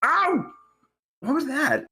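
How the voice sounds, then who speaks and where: A woman's voice: high-pitched, sing-song, slow pace, bright, forceful tone, exaggerated voice. A woman playing a squeamish, flustered young witch in training at a screenplay table read. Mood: pained.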